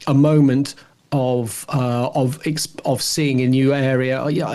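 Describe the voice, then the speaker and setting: A man in middle age chats as a podcast co-host. He talks in a casual, reflective way, wandering through his thoughts as he goes. His voice sits at an ordinary pitch for a man. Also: darkish tone. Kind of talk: casual discussion.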